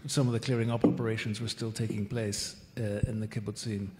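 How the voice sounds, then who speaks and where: Panel speaker: Northern Irish man, lowish voice, measured delivery, long hall reverb. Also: little pitch movement, a little mellow, slight background noise. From Northern Ireland. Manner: measured, deliberate, with occasional repeated words. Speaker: British diplomat on a panel. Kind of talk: formal panel discussion.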